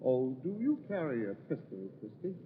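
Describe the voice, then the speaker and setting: An actor playing a suave English bachelor with a British accent, formal and ominous, in an old-time radio drama. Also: male voice, medium pitch, big pitch swings, normal speed, narrow, tinny audio, close to an everyday voice. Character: suave English bachelor host warning of family ghost. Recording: thin, noisy vintage radio recording.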